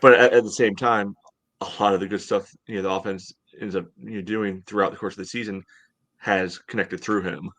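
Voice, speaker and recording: An American man in his thirties hosting a casual sports podcast over a video call, speaking haltingly with lots of "you know". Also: fairly low pitch, fairly even intonation, a bit bright. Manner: hesitant, halting delivery, heavy use of "you know". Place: home room, indoors, on a video call. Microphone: headset on video call.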